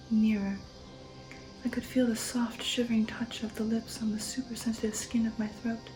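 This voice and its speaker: A woman reading an audiobook in ASMR style, in a soft breathy whisper, slowly and with dramatic deliberation. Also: a little high, poor audio. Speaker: ASMR host and narrator. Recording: music under the voice. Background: music.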